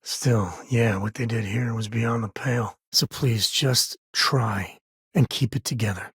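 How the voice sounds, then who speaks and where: A man playing a rugged frontier space explorer in a video game, a wry, plain-spoken former ranger with a folksy way of talking and a husky voice. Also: mid-range voice, a little slow, a bit bright, no echo, clean studio sound, exaggerated voice. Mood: wry, easygoing. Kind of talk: game character dialogue.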